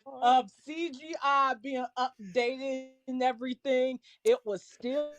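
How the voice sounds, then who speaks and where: High-voiced, insistent woman debating. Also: steady tone, slow pace. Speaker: panelist. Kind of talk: casual debate.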